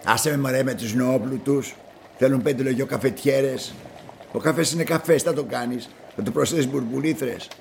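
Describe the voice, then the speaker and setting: Gravelly, grumbling actor as a plain-spoken man. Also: medium pitch, darkish tone, a little hiss or hum, close to an everyday voice. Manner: grumbling, familiar. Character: grumbling, plain-spoken, gossipy male colleague. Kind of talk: radio drama dialogue.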